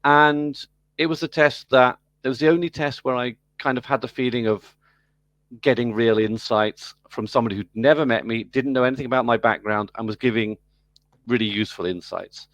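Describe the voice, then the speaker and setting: A middle-aged British man from the UK, explaining his work in a conversational interview with measured pacing and occasional fillers. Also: mid-range voice, fairly even intonation, a bit bright, no room sound, muffled recording. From United Kingdom. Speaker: business school program director. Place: video call.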